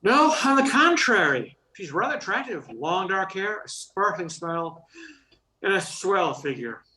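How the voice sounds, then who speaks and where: Man acting an awkward professor, bright animated voice. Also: high-pitched, a little slow, heavily acted, poor audio. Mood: earnest. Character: awkward, flustered, charmless male professor smitten with a colleague. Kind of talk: radio comedy play acting.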